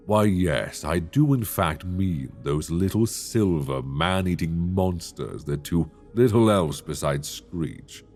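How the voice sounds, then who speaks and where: Deep-voiced possessive dragon king, voiced by a man, gravelly and unhurried; teasing, intimate ASMR roleplay, lively in pitch, faint music underneath. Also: heavily acted. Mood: amused. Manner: slow, intimate, teasing, soft-spoken. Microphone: binaural.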